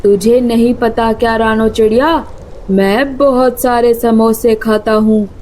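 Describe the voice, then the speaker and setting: A greedy cartoon vulture, acted out by a woman, bullies and demands in a menacing manner. The delivery is unhurried and the tone mellow, over faint background noise. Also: on the high side, steady tone. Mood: greedy, gloating. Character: greedy bullying vulture demanding samosas, threatening.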